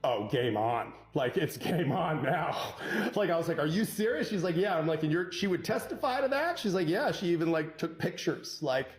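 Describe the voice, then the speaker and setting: A man telling a courtroom anecdote as a divorce lawyer, in a punchy conversational way, acting out quoted dialogue with dramatic pauses. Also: a little high, expressive, soft background music. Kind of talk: animated conversational storytelling.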